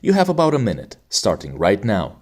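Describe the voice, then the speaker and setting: A man's voice: fairly low pitch, huge pitch swings, brisk, a little mellow. A man from Romania, hosting an exam-prep podcast and playing the examiner, in a formal, teacherly way. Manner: articulate, formal teacherly delivery.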